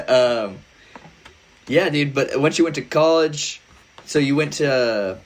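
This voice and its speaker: A man's voice: mid-range voice, slow, long pauses. A young man chatting casually as a podcast guest, laid-back and rambling, with lots of "like" and "dude". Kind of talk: casual conversation.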